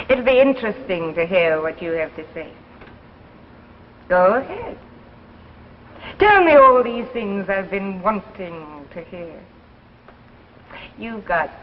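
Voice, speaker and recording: An actress playing a bitter, unhinged wife in a 1950s radio drama, taunting and melodramatic. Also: female voice, mid-range voice, big pitch swings, takes it slowly, pauses often, slight background noise. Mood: scornful. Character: bitter, vengeful wife, unhinged by a drug, threatening. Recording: dull old radio recording, faint music.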